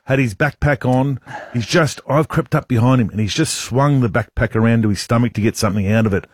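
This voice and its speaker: A man with an Australian accent and a deep voice, telling an animated, laughing story as a radio host. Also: fairly quick, husky, no echo, studio quality. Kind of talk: storytelling banter.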